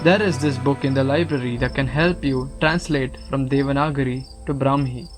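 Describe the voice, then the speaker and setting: A man playing a kindly guru in an audio drama, speaking in a gentle, measured, instructive way. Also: medium pitch, fairly even intonation, lightly acted. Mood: reassuring, instructive. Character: calm, kindly male guru counselling a troubled student. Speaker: student actor. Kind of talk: scripted audio drama acting. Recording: music under the voice. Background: music.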